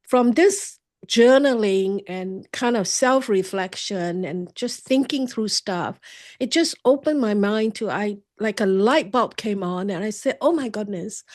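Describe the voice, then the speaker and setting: Guest author, woman, 60s, from Singapore; earnest, halting storyteller. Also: mid-range voice, darkish tone. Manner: earnest, halting with many restarts. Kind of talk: candid personal storytelling.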